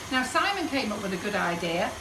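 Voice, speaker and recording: A chatty woman rambling and joking as she demonstrates baking. Her voice rings around an echoey kitchen, picked up from a little way off the mic with steady background noise. She talks at an ordinary middle pitch. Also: a little fast, recorded from a distance. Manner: chatty, rambling, jokey, laughs often.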